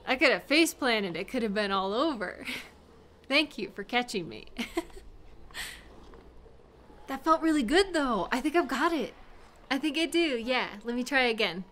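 A woman acting a giggly, high-voiced flirty beginner skater. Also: measured pace, pauses often, slight background noise. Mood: nervous, giggly. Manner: giggly, hesitant, chatty, with excited exclamations. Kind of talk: intimate audio roleplay, one-sided conversation.

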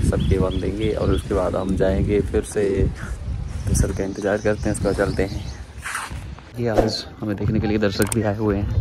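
A man's voice: fairly low pitch, very noisy. A man vlogging casually from a water-sports camp, showing viewers around and chatting. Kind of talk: casual vlog narration.

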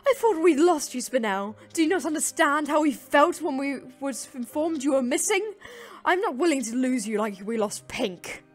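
A woman's voice: sits high, expressive, normal speed, faint music behind. A woman voicing an anxious, sorrowful, motherly female alien ruler in a comic dub, with a fretful, emotional and formal manner. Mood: distraught, angry. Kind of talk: animated comic dub acting.

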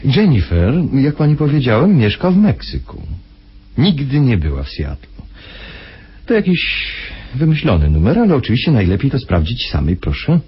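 An actor playing a courteous, controlling husband in a radio drama, smoothly insistent while keeping his wife confined. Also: mid-range voice, huge pitch swings, moderate pace, full character voice. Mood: courteous, reassuring. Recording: dull old radio drama recording.

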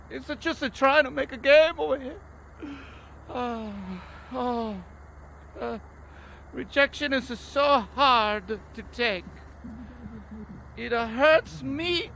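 A man's voice: extremely high pitch, gravelly, bright, forceful tone, full character voice. A man voicing a self-pitying, obsessive game designer who whines and repeats himself in broken English. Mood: wounded, self-pitying. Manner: whining, repetitive, mangled grammar. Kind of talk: improvised comedic game acting. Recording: noisy cassette-tape recording.

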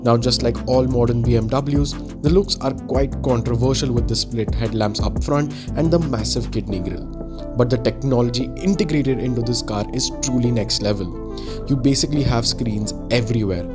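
A man with a middle-range voice reads a scripted voice-over in a steady, polished delivery. Clear music runs underneath. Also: medium pitch, moderate pace. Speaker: voice-over narrator.

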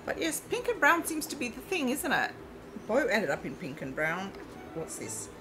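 A woman's voice: fairly high pitch, wildly swooping pitch, normal speed, background noise. A woman with a mixed, mostly Australian English accent, whose first language is from Eastern Europe, chatting casually as she plays a mobile game and reads its prompts aloud. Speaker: let's play host. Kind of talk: casual gameplay commentary.

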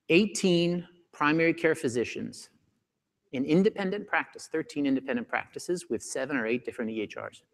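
Animated man giving a keynote on stage. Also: mid-range voice, in a large hall. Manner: animated, acts out doctors' voices, gestures a lot. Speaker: keynote speaker. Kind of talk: conference keynote talk. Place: large conference hall stage. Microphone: lapel mic.

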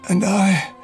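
A man's voice: high-pitched, gravelly, exaggerated voice. A man voicing an old retired male elephant uncle, fretful, tired and loyal. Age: older.

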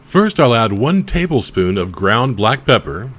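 A man's voice: medium pitch, very animated, unhurried, a bit bright. A man hosting a home cooking show, explaining ingredients and measurements step by step. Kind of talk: recipe instruction voice-over.

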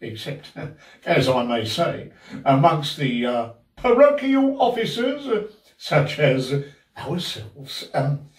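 An actor plays a self-important older parish beadle from a Victorian stage comedy. His high voice swoops widely as he speaks in halting, pompous phrases. It is picked up from a distance in a roomy space. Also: big pitch swings. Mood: pompous, confiding. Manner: pompous, halting, chuckling delivery. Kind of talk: theatrical period comedy acting. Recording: roomy, distant camera microphone.

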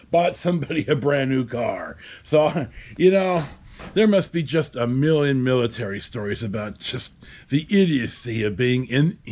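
A man in his fifties hosting a casual storytime to camera, with a halting, wry delivery. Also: a little high, a little mellow. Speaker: host. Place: covered outdoor patio at a house.

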